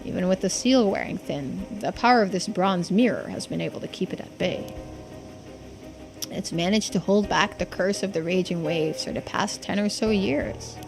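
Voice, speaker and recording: A woman's voice: mid-range voice, moderate pace, gravelly, over music, close to an everyday voice. A woman voicing a folksy, earnest islander who explains old secrets in video game dialogue. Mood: solemn, explanatory.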